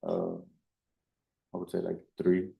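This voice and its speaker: A man's voice: deep voice, big pitch swings, slow pace, gravelly, dark tone. A man testifying as a defendant, giving terse, hesitant, non-committal answers in an echoey courtroom. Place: indoor courtroom.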